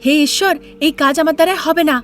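A woman's voice: very high voice, quick pace, faint music behind, pitched above the performer's own voice. A woman voicing an honest, earnest young daughter-in-law in a cartoon tale, plaintive and pleading. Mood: indignant. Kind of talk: cartoon fairy-tale character acting.

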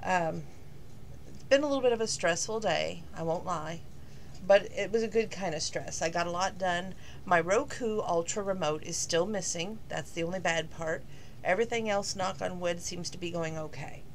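A middle-aged woman, slightly hoarse from losing her voice, rambling casually in a talking-to-camera vlog with lots of fillers. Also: lowish voice, moderate pace, slight background noise. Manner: rambling, chatty, with many fillers. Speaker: vlogger.

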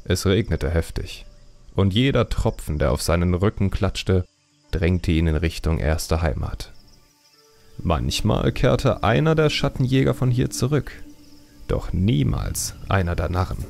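A man reading a fantasy audiobook as narrator in a measured, deliberate, dramatic storytelling style. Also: sits low, animated, gravelly, darkish tone, soft background music.

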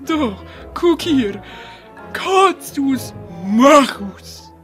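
A woman's voice: high voice, lively intonation, drawn-out words, soft background music, heavily acted. A woman playing a boastful, childishly insistent male wizard in a fantasy audio drama.

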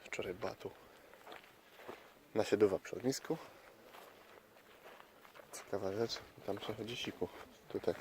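A man's voice: fairly low pitch, steady tone, unhurried, pauses often, darkish tone. A man from Poland chatting casually and unscripted as a travel vlogger, outdoors. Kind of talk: casual vlog chat, unscripted. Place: outdoors by a lake. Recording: noisy outdoor recording with clicks.

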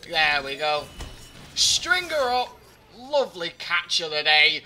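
Young guy commentating his match, kinda groggy voice, very bright and forward, game music faint underneath. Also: fairly high pitch, very animated, takes it slowly. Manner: chatty, joking, talks to himself while playing. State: slightly groggy voice. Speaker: gaming commentator. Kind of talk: live gameplay commentary.

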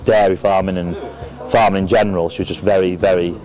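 English man, low and even, music behind. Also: fast talker. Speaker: dairy farmer. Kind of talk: interview testimonial. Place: open cattle shed. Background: background music.

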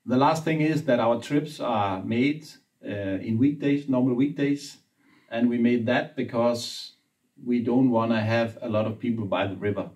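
A man's voice: mid-range voice, a little mellow, recorded from a distance. Danish-native man leading an interview. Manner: leads the conversation, brisk prompts. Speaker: interviewer, trip organizer. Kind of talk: casual interview. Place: indoor room with brick wall.

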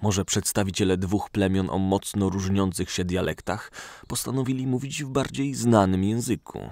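A man's voice: low-pitched, fairly even intonation, moderate pace, a little mellow, dry recording. A man narrating a scripted fantasy audio story.

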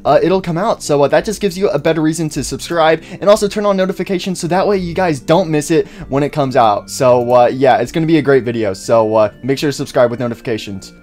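A man's voice: a little high, fast talker, hardly any pauses, darkish tone, faint music behind. A man hosting a casual game mod review, upbeat and rambling, with lots of fillers. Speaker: YouTuber host.

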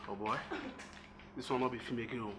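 An actor playing a persuasive, hot-headed Nigerian hustler in a film drama, emphatic and repeating himself for force. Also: male voice, mid-range voice, steady tone, quick pace, fairly bright tone, close to an everyday voice. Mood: wounded. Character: hustling Nigerian man, persuasive, quick to threaten. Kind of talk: film drama acting in Nigerian Pidgin and English. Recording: roomy echo, music underneath.

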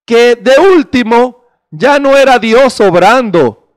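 A man's voice: very high-pitched, animated, slow, clean tone, no room sound, studio quality. A middle-aged man preaching a sermon into a handheld mic on a small indoor stage, emphatic and full of rhetorical questions.

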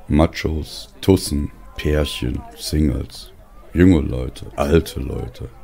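A man with an extremely low, dark voice narrates a first-person story. His delivery is deliberate and full of gravelly fry. Faint music and crowd ambience sit behind him. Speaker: audiobook narrator.

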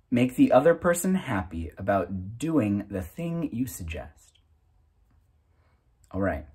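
A man in his thirties reading a self-help booklet aloud in a deliberate, item-by-item way with pauses. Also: medium pitch, a little mellow, breathy edge. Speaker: reader.

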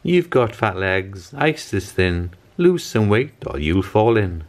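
Man as little girl, storybook, bold, cheeky, sing-song, raised pitch. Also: big pitch swings, takes it slowly. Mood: teasing. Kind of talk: storybook character reading, sing-song rhymes.

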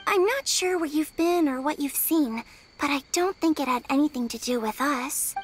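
A woman's voice: high-pitched, moderate pace, a little hiss or hum, natural voice. A woman voicing a timid, sweet little yokai girl in a video game, hesitant, shy and apologetic.

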